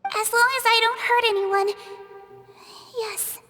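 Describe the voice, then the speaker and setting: An actress voicing a young female non-human apprentice who speaks softly and earnestly, pleading. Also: extremely high pitch, little pitch movement, takes it slowly, long reverb tail, faint music behind, exaggerated voice.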